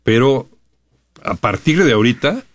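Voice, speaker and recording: A man with a Mexican accent, a radio co-host who talks in a measured, cautious way. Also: medium pitch, animated, no echo. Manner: measured, cautious, asks for agreement. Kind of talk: casual sports chat.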